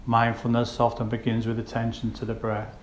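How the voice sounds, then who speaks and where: A man leads a guided meditation, giving gentle, unhurried instructions with pauses between phrases. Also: mid-range voice, completely flat delivery. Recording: roomy echo with faint background noise.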